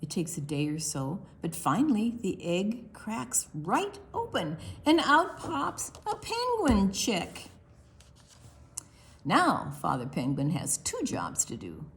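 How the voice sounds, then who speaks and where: Woman, children's storytime reader. Unhurried, very animated pitch, expressive delivery aimed at preschoolers. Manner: expressive, deliberate, with quiet asides to young listeners. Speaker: children's librarian hosting online storytime. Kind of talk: read-aloud picture book storytime for preschoolers.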